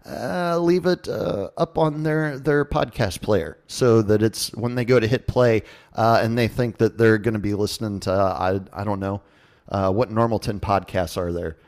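A man's voice: lowish voice, moderate pace, a little mellow. A man hosting a podcast in a casual, jokey radio-show style with informal asides. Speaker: podcast host.

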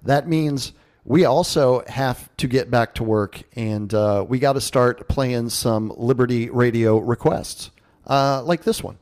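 A man's voice: mid-range voice, measured pace, darkish tone. A man hosting a radio show, giving informal, sarcastic and mocking commentary on the news. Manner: informal, salty language. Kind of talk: news commentary show, reading and riffing on an article.

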